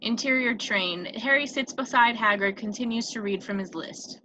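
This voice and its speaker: Woman narrator, bright higher voice, screenplay directions, muffled noisy home recording. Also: moderate pace.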